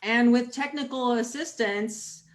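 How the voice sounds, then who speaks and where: A woman's voice: a little high, measured pace. A woman acting as MC of a virtual stage event, announcing performers at the curtain call.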